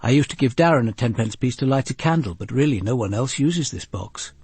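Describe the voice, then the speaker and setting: A man's voice: mid-range voice, animated, brisk, darkish tone, husky, no room sound. A man voicing a fussy, chatty, well-meaning churchgoing woman in a dramatised story. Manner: bustling, chatty.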